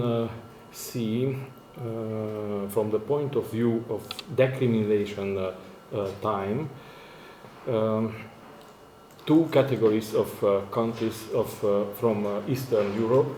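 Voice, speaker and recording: A man's voice: lowish voice, fairly even intonation, slow pace, a little mellow. A man with a Romanian accent gives a formal conference presentation in non-native English, very hesitantly, searching for words. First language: not English, which is a fourth working language. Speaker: academic conference speaker, university lecturer. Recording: echoey room, faint background noise.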